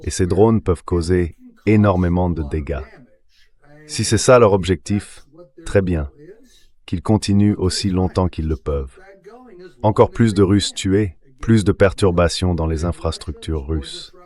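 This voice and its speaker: Male AI dub voice, deep and low, for a political commentator analysing as a guest on a talk show. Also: measured pace, a little mellow. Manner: synthetic AI voice-over reading. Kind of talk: political analysis, dubbed translation.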